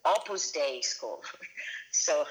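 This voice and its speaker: A woman's voice: sits low, big pitch swings, takes it slowly, poor audio. A woman in her thirties from Venezuela, a filmmaker being interviewed, talking casually with many restarts and fillers. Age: 30s. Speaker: guest filmmaker.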